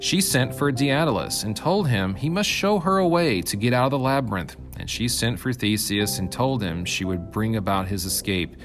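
A man reading a mythical story aloud as scripted narration, in a measured, dramatic storyteller's manner with emphatic phrasing. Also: on the low side, expressive, fairly bright tone, soft background music. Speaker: reader, narrator.

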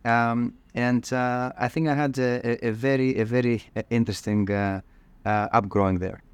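A middle-aged man from Greece with a Greek accent, giving reflective interview answers haltingly and repeating words as he thinks. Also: mid-range voice, fairly even intonation, clear, resonant tone, dry recording. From northern Greece. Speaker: podcast guest, cardiologist.

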